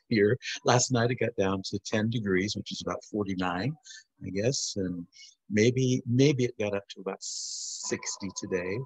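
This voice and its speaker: A man's voice: fairly low pitch, breathy edge, no echo, poor audio. A man in his sixties chatting in a rambling way from his home over a video call. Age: 60s. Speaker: musician and alumnus. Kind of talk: casual video call chat.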